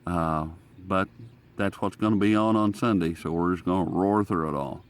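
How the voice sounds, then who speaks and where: A man's voice: low-pitched, moderate pace. An American man hosting a casual hobby radio demonstration outdoors, talking in a folksy, rambling way. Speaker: host. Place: outdoors in sunlight.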